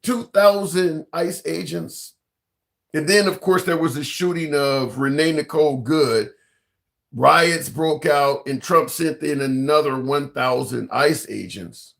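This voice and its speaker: Man hosting a call-in stream, slightly higher-pitched voice, rambling and emphatic, swears casually. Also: gravelly, a bit bright. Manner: talks to viewers and callers directly, rambling and emphatic, swears casually. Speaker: livestream political call-in host. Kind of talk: casual call-in talk show.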